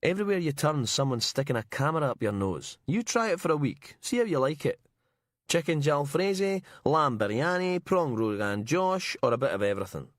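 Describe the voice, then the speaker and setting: Man voicing a brash, pushy reporter, lively and raised, dry close sound. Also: a little high, fairly quick, no room sound, voice pushed up. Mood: grumbling. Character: cocky freelance male reporter, vain and pushy.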